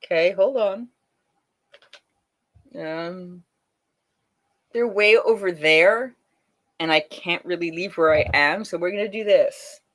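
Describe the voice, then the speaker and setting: Young woman on a webcam stream, chatty, reads the chat out loud between songs, laughs a lot, laid-back. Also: on the low side, takes it slowly, pauses often. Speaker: singer hosting a livestream. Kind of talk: casual livestream chat and folk singing. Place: at home.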